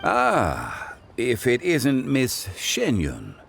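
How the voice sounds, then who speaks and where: An actor playing a calm, courteous male consultant in a video game cutscene, speaking in a deep, measured, formal way. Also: gravelly, a little hiss or hum, exaggerated voice. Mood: composed. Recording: game soundtrack music behind.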